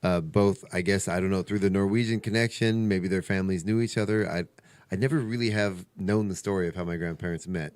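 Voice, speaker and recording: A man's voice: sits low, fairly even intonation, fairly quick, darkish tone, no room sound. A man hosting a casual radio chat, rambling through stories in a chatty, conversational way. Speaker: radio show host. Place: radio studio.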